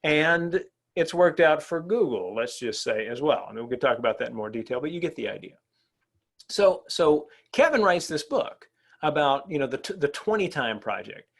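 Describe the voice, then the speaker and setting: A middle-aged man giving an energetic professional development talk to educators, chatty and animated, often checking in with the audience. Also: a little high, normal speed, creaky. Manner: animated and conversational, asks the audience "right?". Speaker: presenter at an educator workshop.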